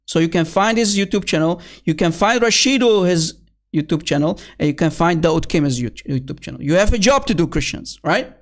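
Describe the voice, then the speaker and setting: A man's voice: on the high side, lively intonation, fairly quick. A man hosting an online debate, heated and scornful, calling opponents names and often addressing viewers as guys and brother. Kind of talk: combative online debate commentary. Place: at his computer.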